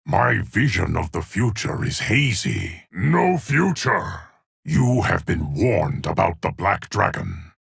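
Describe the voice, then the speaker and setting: A solemn old immortal time guardian man for a fighting game, really low gravelly voice, dry close sound, ominous and formal. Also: fairly bright tone, dry recording, heavily acted. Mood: commanding, ominous. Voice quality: gravelly, husky deep voice. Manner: slow, measured, formal, portentous delivery. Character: solemn ancient male keeper of time, stern and fateful. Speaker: video game voice actor. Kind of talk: dramatic fighting game character lines.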